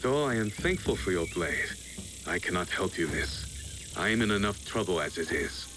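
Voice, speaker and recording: An actor playing a stern, loyal renegade ronin warrior, speaking formally and earnestly in a video game. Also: male voice, sits low, expressive, moderate pace, background noise. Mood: grave, resolute. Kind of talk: scripted video game cutscene acting.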